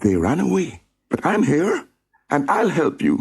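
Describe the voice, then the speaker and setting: A man's voice: sits high, sing-song, moderate pace, husky, voice pushed up, exaggerated voice. A man voicing a timid small boy who is scared but determined to help, in a storybook reading; he speaks earnestly and eagerly. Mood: earnest, urgent. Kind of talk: storybook read-aloud.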